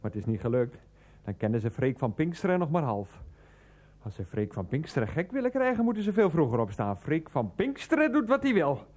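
A man's voice: a little high, big pitch swings, fairly quick, a little mellow. An actor playing a rambling, defiant, grumbling man who likes a drink, muttering to himself in a radio play. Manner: muttering to himself, talks of himself in third person. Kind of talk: Dutch radio play acting.

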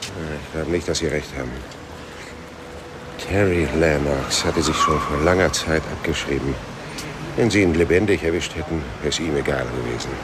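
An actor playing a cynical, world-weary male private detective in a radio crime drama, rough-voiced, dry and laconic. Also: very deep voice, moderate pace, noisy background. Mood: dry, wary. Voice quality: husky, rough. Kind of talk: radio crime drama with first-person narration.

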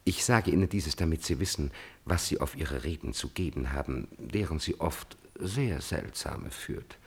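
Husky, deep-voiced scheming courtier. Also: male voice, animated, full character voice. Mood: confidential, insinuating. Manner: courtly, insinuating, halting. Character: smooth-mannered, scheming male courtier, condescending. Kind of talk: classical German stage tragedy as radio drama.

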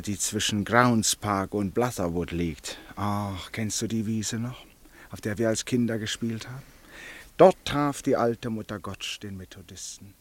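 A man's voice: lowish voice, lightly acted. An actor in a German radio play as an eccentric, theatrical Englishman who explains things with teasing relish. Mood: whimsical, musing. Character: theatrical, eccentric Englishman fond of stories and revelations.

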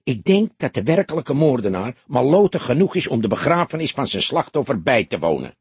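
A male reader plays a small, loyal café owner from the criminal underworld in an audiobook. The voice is husky and animated, earnest with an edge of venom. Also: medium pitch, a little fast, dry recording, pitched above the performer's own voice, heavily acted. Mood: matter-of-fact.

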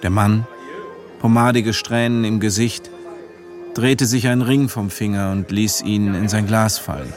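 A man reading scripted literary narration as the narrator of a radio play. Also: on the low side. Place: studio.

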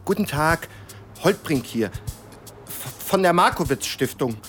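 A nervous caller in a satirical radio play. His slightly high voice is polite and hesitant, stammering over faint music. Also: animated, a little slow, a bit bright. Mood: nervous, apologetic. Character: hesitant, polite, nervous foundation representative complaining by phone.

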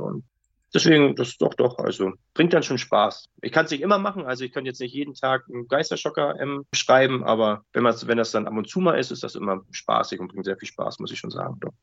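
Fast-talking man, chatty and slightly muffled. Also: mid-range voice, vocal fry, no room sound. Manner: colloquial, rambling, animated storytelling with tag questions. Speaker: interview guest, audio drama writer. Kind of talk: casual interview chat.

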